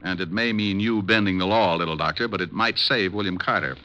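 An actor playing a suave, quick-witted private detective in a 1950s radio drama, brisk, probing and confident. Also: male voice, deep voice, bright, forceful tone, dull audio. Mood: urgent, insistent.

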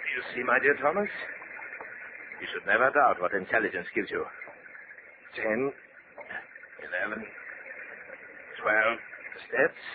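Cranky nazi officer, low voice, snappy and condescending, tinny old radio drama. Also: male voice, lively intonation, measured pace, pauses often. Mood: irritable. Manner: brusque, condescending, impatient. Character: irritable, domineering injured Nazi officer, undercover. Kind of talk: 1940s radio drama acting. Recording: noisy, phone-thin old 1940s radio recording.

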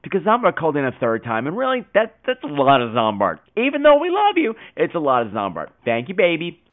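A man's voice: on the high side, huge pitch swings, fast talker, phone-quality sound. A man hosting a podcast in casual chat, theatrical and teasing, with exaggerated emphasis and some profanity. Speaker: podcast host. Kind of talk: casual podcast chat with listener call-ins.

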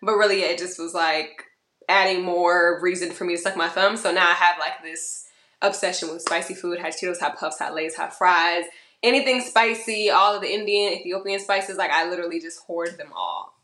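A bubbly woman from Georgia chats as a podcast guest, animated and playful, slipping into little voices as she tells stories. Her voice is bright and crisp, and the sound bounces around an echoey room. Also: lowish voice, normal speed. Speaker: guest, model and musician. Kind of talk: casual interview chat.

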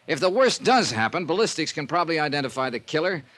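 A man's voice: fairly high pitch, very animated, fast talker, fairly bright tone. An actor in a 1950s radio drama playing a tough male insurance investigator with a blunt, wry, unimpressed manner. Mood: dry, sardonic.

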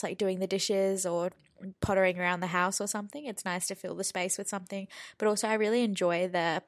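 A woman's voice: fairly low pitch, dry recording, clean studio sound. A woman in her twenties hosting a podcast in casual, rambling chat, sounding a little nasal from a head cold. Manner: chatty, rambling, many fillers. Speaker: podcast host.

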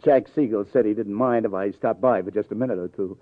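An actor playing a hard-boiled, persistent police detective in 1950s radio crime drama, with dry, understated, probing questions. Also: male voice, lowish voice, fast talker, no echo, close to an everyday voice. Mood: probing, sardonic. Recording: muffled vintage radio recording, narrow band.

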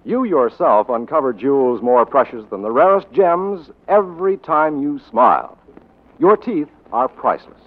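A man's voice: medium pitch, very animated, normal speed. An American man announcing and reading sponsor copy in a formal, emphatic 1940s radio style. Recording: muffled 1940s radio broadcast with faint music.

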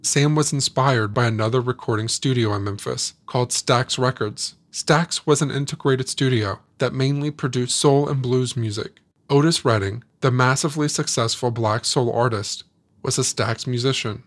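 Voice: mid-range voice, normal speed. A podcast host reading scripted documentary narration.

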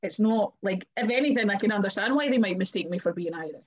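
A woman's voice: medium pitch, animated, quick pace, slightly rough. Scottish woman, wrestler guest, chatty, acts out conversations, slightly dull lo-fi sound. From Scotland. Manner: chatty, acts out quoted exchanges. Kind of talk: casual chat.

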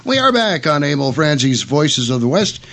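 A man hosting a casual radio talk show, partly reading from notes in a halting way with repeated words. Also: on the high side, sing-song, fairly bright tone, soft background music.

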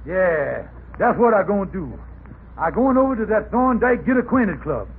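An actor playing a folksy, hesitant middle-aged husband in old radio comedy, stammering through ungrammatical talk. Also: male voice, high voice, sing-song, moderate pace. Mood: lonely, resolved. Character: scheming, long-married middle-aged man, hapless club leader. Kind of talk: 1940s radio comedy acting. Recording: tinny, noisy old radio recording.

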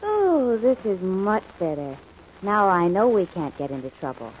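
A woman's voice: medium pitch, big pitch swings, a little slow. An actress playing a detective's devoted girlfriend in an old-time radio drama, coy and playful. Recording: muffled, noisy old radio recording.

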